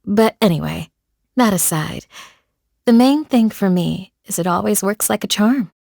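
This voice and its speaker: A woman playing a calm, devoted village guardian in a video game, speaking in a measured, formal, gently solemn way. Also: medium pitch, gravelly, dry recording, clean studio sound. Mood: composed, proud. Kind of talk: scripted game character voice lines.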